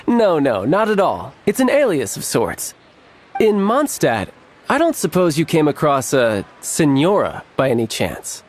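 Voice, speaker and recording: An actor playing a suave, playful young envoy in a game cutscene, smooth, teasing and glib. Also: male voice, on the high side, huge pitch swings, a little slow, a little hiss or hum. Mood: amused.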